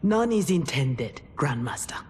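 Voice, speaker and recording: A woman's voice: deep voice, very animated, measured pace, a little mellow, slight background noise. An actress playing a defiant, principled young female ninja trainee in a dramatic video game cutscene. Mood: guarded. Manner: challenging, guarded.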